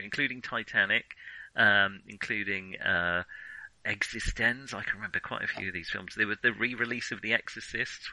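A man's voice: on the low side, bright, forceful tone, dry recording, rough recording. A middle-aged British man chatting casually as a podcast co-host, reflective and ready with his opinions. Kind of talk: casual chat about films.

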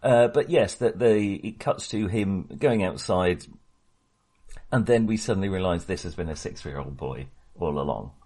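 A man's voice: low voice, animated, normal speed, warm tone. A man co-hosting a casual film discussion, talking in a hesitant, wry and opinionated way. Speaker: podcast co-host.

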